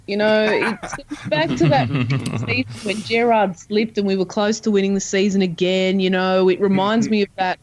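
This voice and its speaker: A woman's voice: fairly low pitch, dull audio. An Australian woman, a podcast guest whose first language is Croatian, talking earnestly in a casual interview chat. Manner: earnest, chatty, animated when making a point. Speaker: podcast guest, football presenter.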